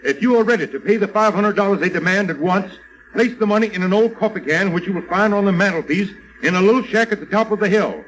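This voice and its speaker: High-pitched, commanding, anxious father. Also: quick pace, a bit bright, rough recording. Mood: grave, anxious. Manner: commanding, earnest, emphatic. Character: worried father, an authoritative leader called Doc. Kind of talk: old-time radio serial acting.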